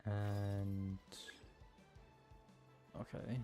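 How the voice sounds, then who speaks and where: Lowish voiced guy gamer, low-key short comments, game music loud behind him. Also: monotone, extremely slow. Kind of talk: casual gameplay commentary. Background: video game music.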